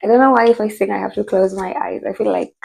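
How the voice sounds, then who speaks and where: Hoarse, husky woman vlogging. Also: medium pitch, steady tone, quick pace, a little mellow, rough recording. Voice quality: husky, gravelly. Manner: chatty, rambling, with many fillers and asides. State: hoarse from a cold. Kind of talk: casual commentary to camera.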